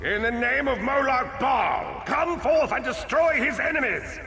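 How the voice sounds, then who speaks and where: Shrill, gravelly fanatical villain. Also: male voice, expressive, unhurried, sharp tone, exaggerated voice. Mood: menacing, gloating. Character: fanatical male villain invoking a dark lord. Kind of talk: theatrical video game villain acting. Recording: reverberant hall, faint background noise.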